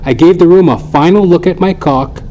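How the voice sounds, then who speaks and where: A man's voice: high voice, normal speed, warm tone, a little hiss or hum, rough recording. Theatrical man narrating a comic monologue. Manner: theatrical, mock-grandiose, wry. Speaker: comedy podcast host, storyteller. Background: music.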